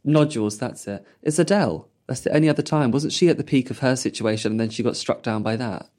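Mellow-voiced interview host. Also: female voice, rumbling low, quick pace. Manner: enthusiastic, asks follow-up questions. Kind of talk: casual interview.

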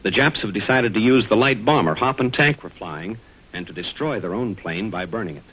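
A man's voice: fairly low pitch, animated, fairly quick, fairly bright tone, breathy edge. An American man announcing and narrating in a scripted, formal and emphatic 1940s radio style. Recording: noisy old 1940s radio recording.

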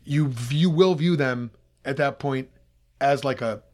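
A man's voice: mid-range voice, unhurried, a little mellow. A man in his thirties chatting casually as a podcast host, with a teasing, bantering manner. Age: 30s. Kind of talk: casual chat.

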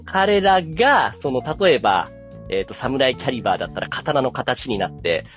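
A man's voice: mid-range voice, sing-song, normal speed, a bit bright. A man hosting a podcast, explaining anime in a casual chat and feeling his way through phrases with many fillers. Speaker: podcast host. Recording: music playing behind the voice. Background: background music with guitar.

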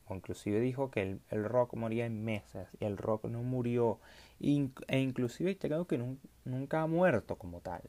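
A man talks casually through a solo podcast episode. He rambles and restarts his sentences, filling gaps with little hesitations. His voice sits at an ordinary middle pitch for a man. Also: darkish tone. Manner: rambling, many fillers and restarts. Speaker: podcast host.

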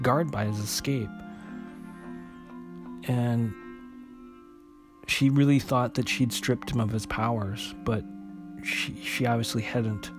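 A man narrating an unscripted recap of a story as a host, in a halting way, often repeating and restarting his phrases. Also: medium pitch. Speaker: host retelling a comic book story.

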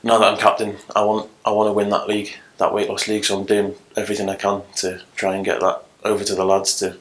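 A man's voice: lowish voice, monotone, fast, fairly bright tone. A man speaking as a guest on a casual podcast, earnest and plain-spoken with frequent ums.

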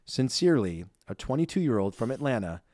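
A young man co-hosting a podcast, reading listener reviews in a lively, self-deprecating chat and laughing easily. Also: mid-range voice, brisk, no room sound, clean studio sound. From Ireland. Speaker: podcast co-host.

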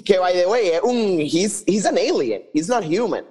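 Man, high-pitched voice, Puerto Rican accent, casual podcast talk, salty language, frequent English phrases. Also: big pitch swings, unhurried, warm tone, husky. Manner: salty, swearing, mixes English phrases into Spanish. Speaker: podcast host. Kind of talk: casual review chat.

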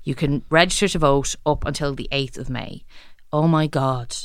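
Woman guest, chatty and giggly, low smooth voice, dry close sound. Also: moderate pace, dry recording. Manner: chatty, laughing often, lots of likes and restarts. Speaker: podcast guest. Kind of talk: casual chat.

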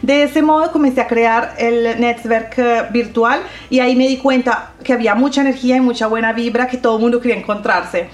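A middle-aged woman on a radio show, explaining things steadily with pauses and fillers. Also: fairly high pitch, steady tone, roomy sound. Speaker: radio show host, interview guest. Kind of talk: conversational radio interview.

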